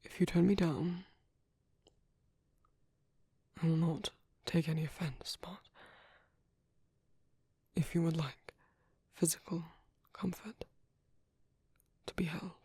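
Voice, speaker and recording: Man playing a husky, whispery British vampire priest. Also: fairly high pitch, fairly even intonation, measured pace, pauses often, dry recording, exaggerated voice. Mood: tender, careful. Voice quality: soft, breathy, husky whispering. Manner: hesitant, flustered, tender, formal wording. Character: gentle, shy British male vampire priest, protective caretaker. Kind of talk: soft intimate ASMR roleplay.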